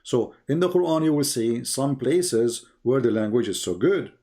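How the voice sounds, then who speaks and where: A man's voice: medium pitch, expressive, normal speed, warm tone. Man arguing in insistent lecture style. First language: non-English. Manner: insistent, didactic, rhetorical questions. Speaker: religious debater on a live call. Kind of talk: polemical live lecture to a caller.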